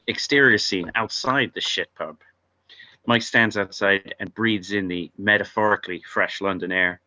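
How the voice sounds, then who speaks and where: Male narrator for screenplay read-through: deep, forward tone, somewhat dull recording. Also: normal speed, bright, forceful tone. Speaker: narrator reading stage directions at a table read. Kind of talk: reading screenplay directions aloud.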